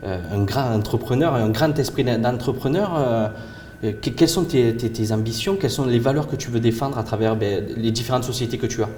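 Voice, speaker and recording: A man's voice: mid-range voice, brisk, darkish tone, a little hiss or hum. A man hosting a local video series as a real estate adviser, introducing it enthusiastically and stumbling through his interview questions.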